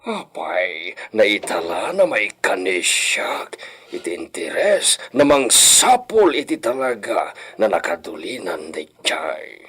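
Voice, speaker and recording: A man acts out a proud, wealthy hacienda master for a radio drama. His husky voice sits low and takes its time, swooping theatrically through drawn-out phrases with Spanish words dropped in. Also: wildly swooping pitch, fairly bright tone, full character voice. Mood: scheming, determined.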